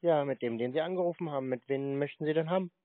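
A man with a slightly high voice banters in a casual, mocking way. He sounds sarcastic and teasing. The sound is thin and phone-like, with no room to it. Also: fast talker, no echo. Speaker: prank call recipient baiting scam callers.

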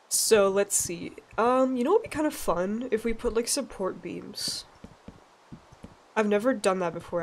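A young woman from Sweden with a stuffy, nasal voice, chatting casually and laughing as she narrates a relaxed gameplay session. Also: mid-range voice, a little hiss or hum. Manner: chatty, rambling, laughs often. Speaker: let's play gamer.

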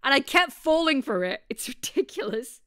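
A woman giving playful, expressive let's play commentary on a live stream, chatting in asides. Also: sits high, moderate pace. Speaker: streamer playing through a visual-novel style series. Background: music.

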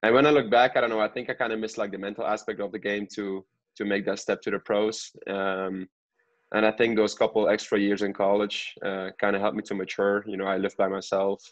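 A man's voice: lowish voice, little pitch movement, fairly quick. A man in his twenties from Belgium giving casual interview answers as a soccer player, often hedging with "you know" and "I think". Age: 20s. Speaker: professional soccer player, podcast guest. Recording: echoey room, dull lo-fi sound.

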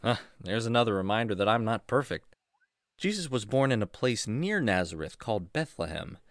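Give me a man playing a lively, kindly jungle storyteller. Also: fairly low pitch, moderate pace, no echo, clean studio sound. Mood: gentle, reflective. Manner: expressive, reassuring, explanatory. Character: kindly, enthusiastic jungle man Jack, patient storytelling teacher. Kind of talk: children's radio drama acting.

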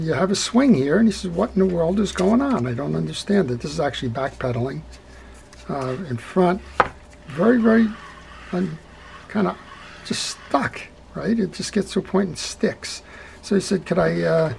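A man's voice: fairly high pitch, gravelly, a little mellow, slight background noise. A middle-aged man hosting a hands-on fishing reel repair tutorial at his workbench, talking it through step by step in a folksy way. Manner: talkative, folksy, explains step by step with "right?" checks. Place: indoor workbench.

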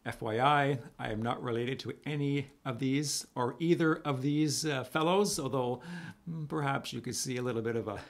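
Middle-aged male priest, raspy and gravelly, conversational preacher. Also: medium pitch. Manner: conversational, animated hand gestures, reads scripture slowly. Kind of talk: video homily to camera. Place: small room at home.

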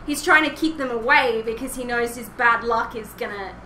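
Young woman podcast host just chatting, kinda rambly, highish voice, lots of room echo. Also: normal speed, sharp tone. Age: young adult. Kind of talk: casual chat, fan discussion. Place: small home room indoors, online call. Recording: roomy, noisy headset recording.

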